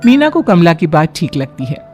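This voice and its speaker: A woman's voice: fairly low pitch, big pitch swings, moderate pace, a little mellow. Woman narrating a cartoon story over music.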